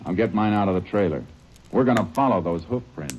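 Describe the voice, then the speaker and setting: An actor playing a calm, methodical male lawman detective who also narrates, matter-of-fact, in an old radio drama recording. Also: on the low side, a little fast, lo-fi sound, slight background noise, close to an everyday voice. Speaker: Texas Ranger investigator.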